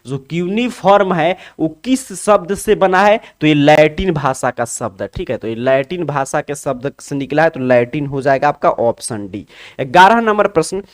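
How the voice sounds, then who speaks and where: A man's voice: on the high side, animated, normal speed. A man teaching an exam solution lesson, putting questions to students and answering them himself, repeating key phrases.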